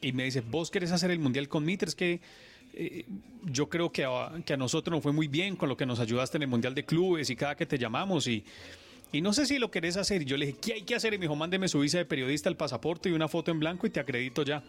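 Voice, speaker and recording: A Colombian radio sports journalist, a man, telling his stories in an earnest, animated way during a radio interview. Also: on the high side, fast talker.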